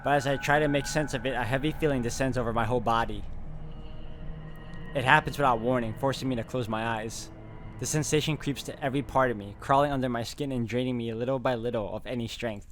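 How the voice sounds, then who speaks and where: A brooding, uneasy protagonist voiced by a man narrates a mystery visual novel. The delivery is introspective and dramatic at a middle pitch, with soft music behind. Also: fast, close to an everyday voice. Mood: ominous, dread. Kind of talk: scripted first-person narration.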